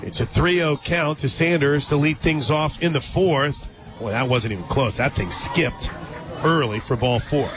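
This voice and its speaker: A man doing live radio softball play-by-play, dropping conversational asides between pitches. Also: a little slow. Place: radio booth at an outdoor ballpark. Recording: outdoor stadium crowd noise, loud music. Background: loud crowd and ballpark music.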